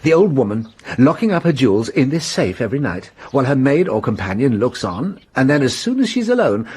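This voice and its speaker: An actor playing a shrewd, playful police inspector who chuckles and muses theatrically. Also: male voice, a little high, slightly rough, full character voice. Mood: animated. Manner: theatrical, chuckling, lively and musing. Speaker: detective. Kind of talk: radio drama acting.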